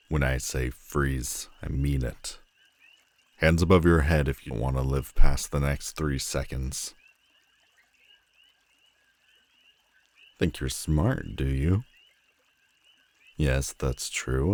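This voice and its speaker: A man playing a menacing, world-weary telepathic supervillain in an audio roleplay, theatrical and mocking, with deliberate dramatic pauses. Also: a bass voice, darkish tone, heavily acted. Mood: cold menace. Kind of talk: scripted audio roleplay addressed to the listener.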